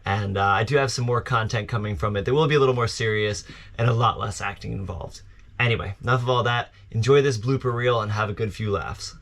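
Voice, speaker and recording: A man's voice: lowish voice, fairly quick, a bit bright. A man chatting casually to viewers as a sailing vlogger, rambling informally and poking fun at himself. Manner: informal and rambling, self-deprecating. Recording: outdoor recording with clear background noise.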